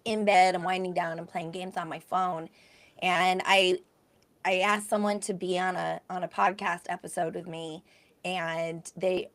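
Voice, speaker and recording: A woman with a gravelly voice co-hosting a podcast, chatting in a lively, talkative way. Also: fairly low pitch. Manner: chatty, animated, self-described extrovert. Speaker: podcast co-host. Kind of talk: casual chat.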